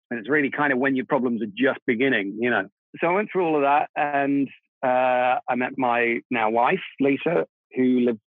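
A man's voice: mid-range voice, no echo. Man on a tinny line. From Czech Republic. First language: Czech. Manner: reflective, self-deprecating, laughs easily. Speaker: guest, film composer. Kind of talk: casual interview conversation. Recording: dry, phone-like narrow sound.

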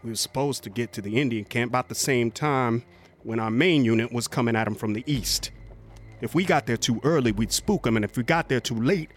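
An actor playing a haunted, rough-spoken US Cavalry veteran, telling his story in a folksy, halting way in a radio drama. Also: mid-range voice, slight background noise, natural voice. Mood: plain, uneasy. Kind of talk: dramatic first-person monologue, radio drama.

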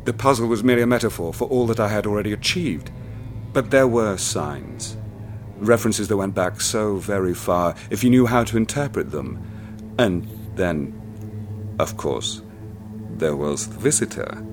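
Deep-voiced actor as a ruthless occult collector, calm and menacing, radio play with music under. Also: male voice, unhurried, close to an everyday voice. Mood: brooding. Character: obsessive cold wealthy man seeking forbidden puzzle. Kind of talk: radio play narration and dialogue.

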